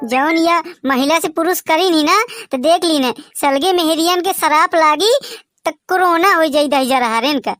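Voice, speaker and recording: A man with a Bagheli accent voicing a nagging, sharp-tongued village wife who curses and scolds. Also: very high-pitched, moderate pace, no room sound, soft background music, voice pushed up. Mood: complaining. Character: shrill scolding village wife running for office.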